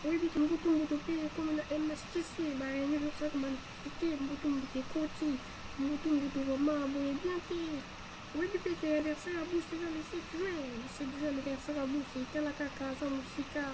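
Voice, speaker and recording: A woman's voice: sits high, steady tone, brisk, background noise, close to an everyday voice. A woman with a Congolese accent playing a talkative woman in a cartoon. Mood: insistent. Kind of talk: animated cartoon dialogue in Lingala and French.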